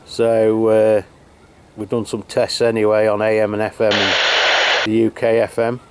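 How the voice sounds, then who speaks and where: A man's voice: lowish voice, completely flat delivery, moderate pace, very noisy. A man from England reviewing a radio outdoors on a cold, wet hilltop, talking casually with lots of fillers and restarts. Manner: chatty, full of fillers and restarts. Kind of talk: casual radio chat to camera. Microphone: handheld radio mic and camera.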